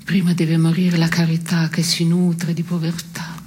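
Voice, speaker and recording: A woman's voice: on the low side, monotone, a little slow, a little mellow, lightly acted. An actress playing a dry, blunt woman in a philosophical theatre dialogue, terse and matter-of-fact. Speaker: stage actress.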